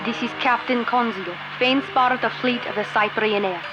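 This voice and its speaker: Woman as a composed high-voiced allied captain. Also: normal speed, highs cut off, music in the background, close to an everyday voice. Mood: composed, formal. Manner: formal, precise, matter-of-fact. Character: measured female allied captain, composed tactical adviser. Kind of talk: sci-fi audio drama radio dialogue.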